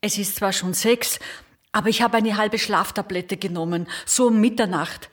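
A woman's voice: mid-range voice, normal speed, natural voice. A woman playing a worried, sleepy daughter in a radio drama, speaking deferentially with an Austrian accent. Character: worried, sleepy daughter caring for frail mother.

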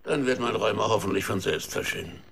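Gravelly, grumpy, imperious king. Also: on the low side, fairly bright tone. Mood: resigned. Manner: curt, commanding, slow drawl. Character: grumpy, imperious rheumatic old Gothic king. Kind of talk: children's radio drama acting.

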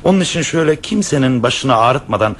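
A man's voice: mid-range voice, lively intonation, quick pace, lightly acted. A middle-aged actor in a radio play voicing a courteous, formal professor who is a proud father.

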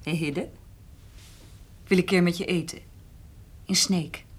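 An actress playing a forthright, affectionate and insistent woman in a naturalistic radio drama, with a husky voice. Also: on the low side, fairly even intonation, unhurried. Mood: eager, affectionate.

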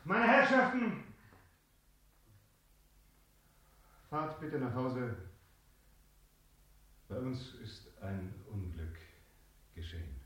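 Reasoning theatre player, radio drama: high, deliberate, emphatic delivery, cavernous echo. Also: male voice, huge pitch swings, sharp tone, full character voice. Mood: distressed announcing. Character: sensible male stage player, reasoning and anxious to keep order. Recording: very echoey stage, faint noise.

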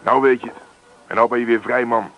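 A man's voice: medium pitch, fairly even intonation, a little fast, close to an everyday voice. An actor playing a breezy, blunt, carefree male friend in a radio play. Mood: breezy, dismissive. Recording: dull, noisy old radio recording.

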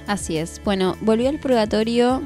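A woman's voice: a little high, moderate pace, mellow. Woman, twenties, Argentine accent, radio host, poetic conversational talk, music underneath. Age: 20s.

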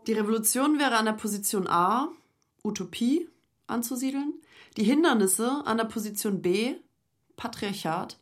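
A woman making a deliberate, scripted announcement like a quiz presenter. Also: fairly high pitch, lively intonation.

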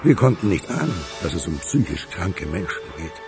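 A man playing a sober, measured official in a radio crime drama. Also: deep voice, sing-song, over music. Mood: grave. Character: sober, measured male official reporting to investigator.

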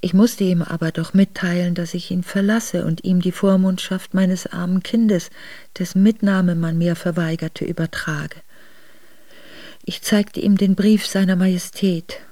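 An actress playing a grieving Russian princess who narrates her memoirs in a radio drama, measured and solemn. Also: fairly low pitch, steady tone, dark tone, no room sound, natural voice. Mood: sorrowful, restrained.

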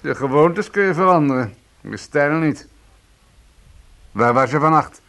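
Curt, needling police detective. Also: male voice, fairly high pitch, lively intonation, unhurried, fairly bright tone. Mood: cool, probing. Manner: curt, probing, formal. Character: needling, coolly insistent male police detective. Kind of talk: Dutch radio play acting.

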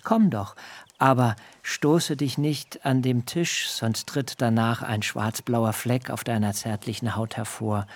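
An actor playing Jakob, a young bridegroom, telling of his wedding night in a measured, hushed, intimate radio-drama reading. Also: mid-range voice, husky. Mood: tender, hushed. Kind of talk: literary radio drama, first-person narration.

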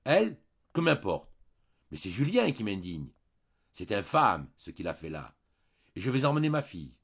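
Man voicing an irate old nobleman, shouting, lo-fi. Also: fairly low pitch, huge pitch swings, normal speed, gravelly, breathy edge, heavily acted. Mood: outraged. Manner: outraged, shouting. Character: furious trembling old nobleman father.

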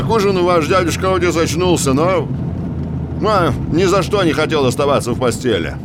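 Casting: male actor for a talkative rural busybody, highish lively voice, audio drama, car rumbling behind. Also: lightly acted. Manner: emphatic storytelling with tag questions. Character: talkative small-town man, folksy gossip and vigilant busybody. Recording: clear car noise behind the voice. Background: car engine, outdoor vehicle ambience.

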